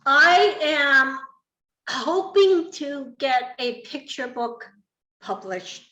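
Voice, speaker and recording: Woman on a group call, talks really slow and halting, roomy echoey audio. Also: high-pitched, expressive, bright, forceful tone. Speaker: short story writer, podcast guest. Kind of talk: casual, halting group chat.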